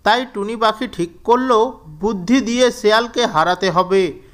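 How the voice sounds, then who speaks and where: A man narrating a scripted children's story in a measured, deliberate storybook manner. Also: high voice, a bit bright.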